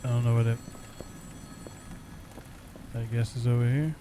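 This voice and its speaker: A man giving casual, low-key gameplay commentary, thinking aloud in short, terse remarks as he plays. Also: steady tone, normal speed. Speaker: gamer playing and commenting. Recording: faint rain noise behind.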